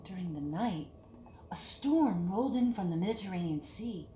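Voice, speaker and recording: A woman reading a picture book aloud to children in an expressive, deliberate storytelling manner, with hushed dips and emphatic lifts. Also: mid-range voice. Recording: echoey room with clear background hiss.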